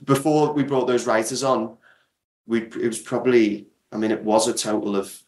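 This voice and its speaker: A man's voice: mid-range voice, steady tone, moderate pace, darkish tone. A man giving explanatory, conversational interview answers as a guest creator, often restarting mid-thought. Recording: echoey, roomy sound.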